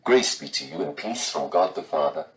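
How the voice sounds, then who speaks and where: A man giving a solemn scripture reading in a measured, formal delivery. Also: a bass voice, expressive. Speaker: scripture reader.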